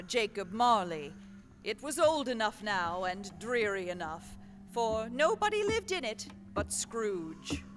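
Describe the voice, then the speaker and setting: Woman acting a slow, animated genteel storyteller. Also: a little high, exaggerated voice. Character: genteel, earnest female charity collector and storyteller. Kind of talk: radio play acting and narration. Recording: echoey hall stage recording.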